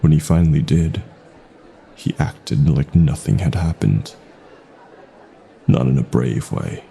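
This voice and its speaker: A man narrating a scripted first-person horror story in a slow, dramatic way with deliberate pauses. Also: very deep voice, gravelly, dark tone, a little hiss or hum.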